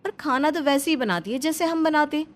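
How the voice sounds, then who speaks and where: A young, scheming daughter-in-law acted out by a woman from a cartoon family drama, pitched very high. She sounds emphatic and insinuating, as if sharing a suspicion. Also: expressive, a little fast. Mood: suspicious, conspiratorial. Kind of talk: animated family story dialogue.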